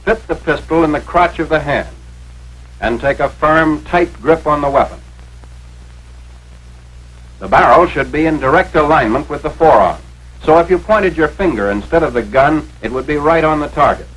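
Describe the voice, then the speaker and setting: A man's voice: fairly high pitch, expressive, moderate pace, a bit bright, slightly rough, poor audio. An American man reading scripted narration for a 1940s military training film in an authoritative, instructional manner, on a vintage film soundtrack.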